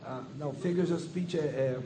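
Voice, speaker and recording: High-pitched Brazilian male sermon interpreter. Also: moderate pace. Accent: Brazilian Portuguese. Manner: conversational, rephrasing as he goes. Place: live before a gathered audience. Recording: live talk with clear background noise.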